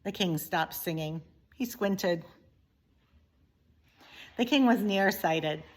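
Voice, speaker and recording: A woman reading a children's story aloud in an expressive, deliberate way with dramatic pauses. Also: mid-range voice. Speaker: teacher reading a book aloud.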